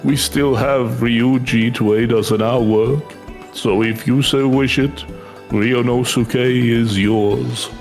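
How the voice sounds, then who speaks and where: A man voicing a formal, kindly delegation official in a fantasy game, with measured courtly phrasing. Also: medium pitch, fairly even intonation, darkish tone. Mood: gracious, benevolent. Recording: game music behind the voice.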